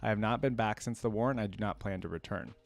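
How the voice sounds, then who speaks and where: A man's voice: lowish voice, fast, creaky. A man reading aloud the part of a guarded, remorseful exiled woman warrior in a story, in terse phrases. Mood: guarded, sorrowful.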